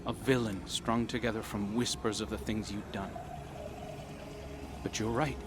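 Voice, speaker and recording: An actor playing an earnest young male hero in a game cutscene, sincere, vulnerable and resolute in a measured way. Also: on the low side, fairly even intonation, noisy background, close to an everyday voice. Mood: vulnerable resolve. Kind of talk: video game cutscene dialogue. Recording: loud music behind the voice.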